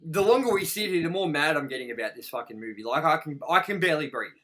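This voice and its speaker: A man's voice: fairly high pitch, lively intonation, quick pace, pushed and bright. An Australian man hosting a podcast, talking casually and crudely in a ranting, swear-heavy film review. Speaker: podcast host.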